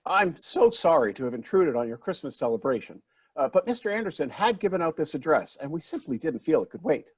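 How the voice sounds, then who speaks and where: A man's voice: on the high side, big pitch swings, a little fast, slightly rough. A man acting in a play reading as a polite, apologetic investor, formal and slightly bumbling. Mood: eager, courteous. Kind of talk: virtual stage play reading.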